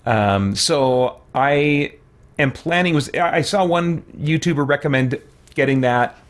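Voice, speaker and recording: A man's voice: a little high, measured pace. A middle-aged man giving casual, conversational let's play commentary as a gaming streamer, rambling and thinking aloud.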